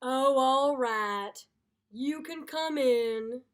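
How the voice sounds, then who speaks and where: A woman voicing a grumpy, exasperated male alligator in a picture book, loud, indignant and emphatic. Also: sits high, extremely slow. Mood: grudging. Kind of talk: picture book read-aloud.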